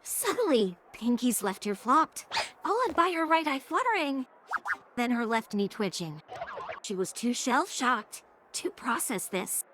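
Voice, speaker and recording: A woman's voice: a little high, fairly bright tone. A woman narrating a scripted story as the narrator of an audio drama. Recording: clearly noisy background.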